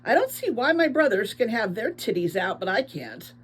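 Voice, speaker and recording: A woman reading aloud as a big, affectionate, blunt young woman demigod who is loud and unabashed. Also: on the high side, sing-song, moderate pace, breathy edge. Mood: indignant, loud. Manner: loud, unabashed, blurts things out.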